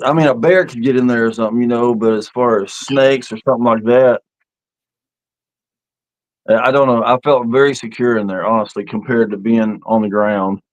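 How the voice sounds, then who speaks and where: Man, fifties, folksy speech, rambling storyteller, casual live-stream host, medium pitch. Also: no echo, poor audio. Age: 50s. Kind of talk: casual live-stream chat about camping.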